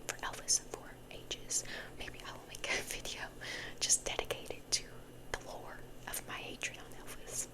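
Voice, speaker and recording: A woman's voice: a little slow, low-quality recording. A young woman hosting ASMR, rambling casually in a whisper and repeating words and phrases for effect. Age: young adult. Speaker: ASMR video host.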